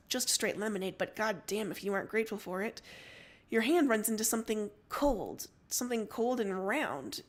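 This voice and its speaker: A woman narrates fiction aloud for a livestream. Her voice sits a little high, and she reads in an expressive storytelling style.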